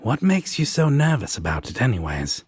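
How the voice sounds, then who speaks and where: A man playing a protective, laid-back devil roommate in an intimate roleplay audio, speaking in a husky, breathy, affectionate drawl. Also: medium pitch, wildly swooping pitch, moderate pace, a little mellow, no room sound. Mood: gently reassuring. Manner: drawling, affectionate, casual reassurance.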